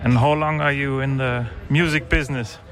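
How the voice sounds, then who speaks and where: A man's voice: medium pitch, steady tone, normal speed, fairly bright tone, a little hiss or hum. A man interviewing a band singer, asking short, simple questions in non-native English. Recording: music playing behind.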